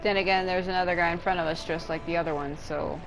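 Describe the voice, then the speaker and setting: A woman's voice: fairly low pitch, steady tone, fast, low-quality recording. A woman giving casual commentary as she plays a video game, with a blunt, sarcastic way of talking. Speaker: gamer doing a let's play. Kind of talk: casual game commentary, reading choices aloud. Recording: game music behind. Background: video game music.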